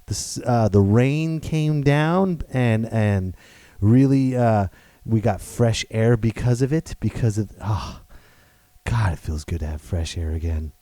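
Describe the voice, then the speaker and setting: Loud, playful man hosting a podcast. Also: on the low side, unhurried, no echo. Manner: loud, playful, rambling with restarts. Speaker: podcast host. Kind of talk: casual solo podcast monologue.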